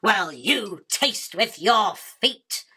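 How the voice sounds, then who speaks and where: Spider queen for radio play, female actor: crisp-edged bright tone, theatrical, self-important and scornful, always hungry. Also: medium pitch, animated, very slow, heavily acted. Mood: irritated, superior. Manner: imperious, dismissive, self-justifying. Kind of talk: theatrical radio drama acting.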